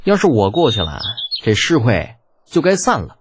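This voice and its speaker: A man's voice: mid-range voice, huge pitch swings, normal speed, background noise. A man voicing a cocky, glib young schemer in an audiobook, with a smug, persuasive manner. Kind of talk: audiobook character acting.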